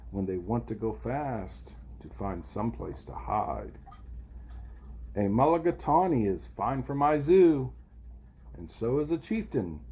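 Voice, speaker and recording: A man reading a rhyming children's picture book aloud in an expressive, theatrical, playful way, as for a kindergarten class. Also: mid-range voice, breathy edge. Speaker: storybook reader for kindergarten children.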